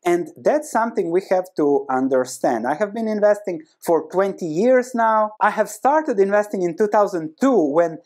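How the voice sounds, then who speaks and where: A man in his thirties with a Croatian accent, a native Croatian speaker, giving an animated, conversational explanation to camera with emphatic repetition. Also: high-pitched, moderate pace, hardly any pauses. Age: 30s. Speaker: host of an investing video channel. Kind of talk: explanatory book summary to camera. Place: small indoor room with bookshelves. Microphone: lapel mic.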